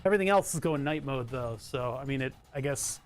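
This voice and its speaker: A man streaming from his home setup, chatting casually in a rambling, self-interrupting way with a bright, trebly voice. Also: mid-range voice, fairly quick.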